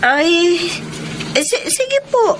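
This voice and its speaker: A woman's voice: extremely high pitch, big pitch swings, very slow, slight background noise, full character voice. A woman playing a shy, respectful young student in a Filipino radio romance, polite and easily flustered. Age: young adult.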